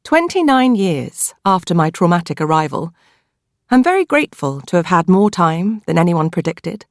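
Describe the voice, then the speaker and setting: A woman with a British accent narrates a novel in the first person, in a measured, expressive storytelling manner. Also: on the low side, dry recording, studio quality. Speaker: audiobook narrator.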